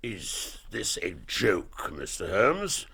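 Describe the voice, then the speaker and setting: An actor playing a haughty, guarded aristocrat in a period radio drama, gravelly, pompous and curt. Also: male voice, on the low side, sing-song, takes it slowly, sharp tone, heavily acted. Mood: affronted. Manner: pompous, clipped.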